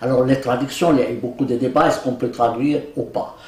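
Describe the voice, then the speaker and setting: A man's voice: mid-range voice, fairly even intonation, quick pace. An older man whose first language is Arabic, chatting in an interview, joking, laughing often and hesitating with many fillers. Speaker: Quran translator being interviewed. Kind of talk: casual interview conversation. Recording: roomy, mic at a distance.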